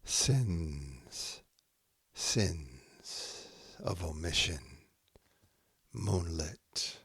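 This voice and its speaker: A man with a low voice reads poetry aloud at a very unhurried pace. He delivers it in short, measured phrases with space between them. The sound is dry, with very little room in it. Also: expressive, a bit bright, husky, no room sound. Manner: measured, with short phrases set apart by pauses. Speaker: podcast host reading poetry aloud. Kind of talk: poetry reading, haiku recited line by line.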